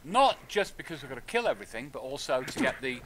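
A man's voice: mid-range voice, sing-song, quick pace, background noise. A man streaming a video game, giving casual, wry commentary as if half to himself, with game audio behind him. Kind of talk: casual live gameplay commentary. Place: indoors at a streaming setup.

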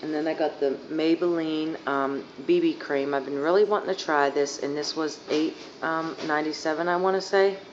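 A young woman with a hoarse, fading voice rambling casually through a makeup haul, full of fillers, filmed on a phone on her living room couch. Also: sits low, steady tone, moderate pace, few pauses. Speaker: beauty vlogger. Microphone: phone camera at arm's length. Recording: dull lo-fi phone audio, faint hiss.

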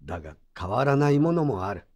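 Man acting an expressive old craftsman. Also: medium pitch, a little fast. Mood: gentle, wise. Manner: old-fashioned elderly speech, admonishing and reassuring. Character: gruff but kindly old craftsman over sixty. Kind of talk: Japanese audio drama acting.

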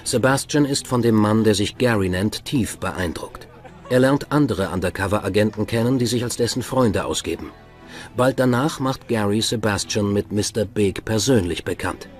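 A man's voice: lowish voice, moderate pace. A man delivering scripted narration for a true-crime documentary. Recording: music bed behind narration. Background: music.

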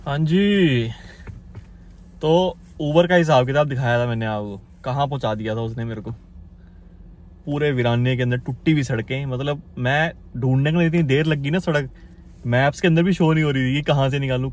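A man's voice: medium pitch, moderate pace. Young man vlogging, noisy background. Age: young adult. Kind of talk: casual vlog chat to camera. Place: car, street and home. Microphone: phone at arm's length. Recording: noisy handheld vlog with car sound.

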